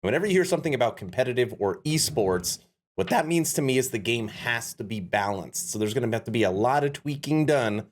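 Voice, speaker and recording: A man's voice: medium pitch, expressive, brisk, slight background noise. A man giving casual live reaction commentary as a streamer. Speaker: streamer reacting to a game announcement.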